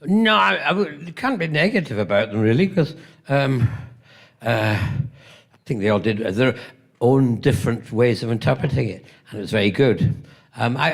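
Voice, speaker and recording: A gravelly older British man spins rambling, playful stories at a convention panel. His voice has a rough edge, and he throws in self-mocking jokes between tales, now and then coughing from a tickle in his throat. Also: mid-range voice. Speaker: convention guest actor. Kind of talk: convention Q&A storytelling. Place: convention hall stage.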